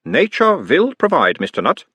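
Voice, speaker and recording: A man's voice: on the high side, huge pitch swings, moderate pace, bright, forceful tone, husky, no echo, voice pushed up, exaggerated voice. A man voicing an orc who plays his own analyst, asking mild, coaxing questions in an Uberwaldian accent.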